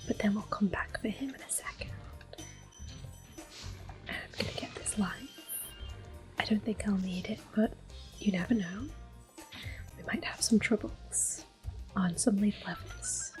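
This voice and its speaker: A woman whispering close to the mic as she calmly plays through an old game and recalls it fondly. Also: mid-range voice. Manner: soft whispering, gently nostalgic. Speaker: ASMR gameplay host. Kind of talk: whispered ASMR game commentary. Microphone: close sensitive mic. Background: faint game music.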